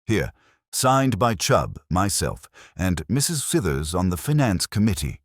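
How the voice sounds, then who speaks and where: A weary small-town council official, read by a male narrator, mutters drily in a very deep, husky voice. The manner is fussy, in the style of a mystery audiobook. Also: very animated, measured pace, dry recording, full character voice. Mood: matter-of-fact. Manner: dry, muttering.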